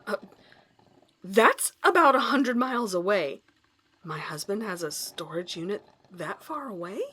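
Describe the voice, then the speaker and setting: A woman's voice: mid-range voice, lively intonation, a little slow, fairly bright tone, breathy edge. A woman playing a determined academic searching for her missing husband in an earnest audio drama. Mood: anxious. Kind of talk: audio drama acting and narration.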